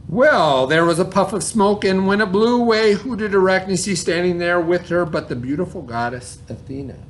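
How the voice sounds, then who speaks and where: A male teacher with a moderately high voice reads and explains for children. His delivery is informal and direct, in the manner of classroom storytelling and review. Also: moderate pace, a little hiss or hum. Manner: informal, questioning, talks directly to pupils. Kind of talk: children's read-aloud and lesson.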